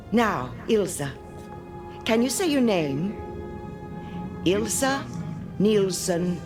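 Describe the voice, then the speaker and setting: An actress playing a prim, self-righteous, domineering schoolteacher in a radio drama, speaking precisely and with condescension. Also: female voice, medium pitch. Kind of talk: vintage-style radio drama acting.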